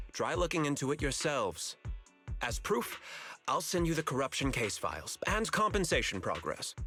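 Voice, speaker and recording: Game businessman: higher voice, suspicious and self-justifying, emphatic, formal diction, music underneath. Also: fairly bright tone. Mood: indignant, insistent. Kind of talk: video game story dialogue acting.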